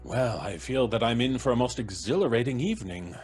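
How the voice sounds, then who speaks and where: An actor playing a genteel, moralising older professor in old-time radio horror style, husky, fussy and stammering. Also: male voice, medium pitch, lively intonation, fairly quick, darkish tone, soft background music, natural voice. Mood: jovial.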